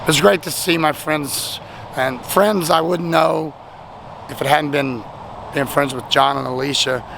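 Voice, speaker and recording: Hoarse, gravelly man by a road. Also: a little high, a little slow. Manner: rambling, chatty, self-deprecating. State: voice nearly lost, hoarse. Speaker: vlogger. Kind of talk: casual thank-you vlog. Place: outdoors at a highway rest stop, traffic nearby. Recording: outdoor traffic noise.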